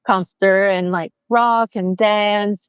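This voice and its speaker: Brazilian-accented woman, interview guest; warm, chatty, unhurried, dull dry recording. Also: mid-range voice, fairly even intonation, clean tone, no room sound. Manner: friendly, chatty, many fillers and restarts. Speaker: family photographer, guest. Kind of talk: casual interview chat.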